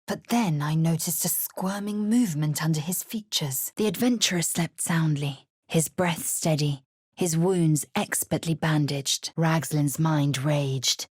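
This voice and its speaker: A woman playing a fierce, proud githyanki warrior in a video game, with a husky, raspy voice and a clipped, haughty, formal manner. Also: deep voice, measured pace, a little mellow, dry recording, studio quality. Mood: solemn, brooding. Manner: clipped, haughty, imperious, formal archaic phrasing.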